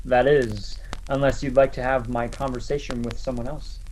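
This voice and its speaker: A man's voice: mid-range voice, level tone, darkish tone, lightly acted. Man acting a menacing blackmailer, noisy recording. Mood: cool menace. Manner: deliberate, coolly threatening. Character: menacing male blackmailer, coolly taunting former store detective. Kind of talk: radio play acting, letter read in character.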